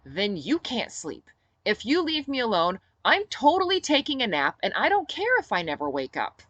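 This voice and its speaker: A woman's voice: a little high, wildly swooping pitch, normal speed, a bit bright, no room sound, highs cut off, voice pushed up, full character voice. A woman voicing an irritable, defiant female rebel leader with a blunt, sarcastic manner, in a story reading.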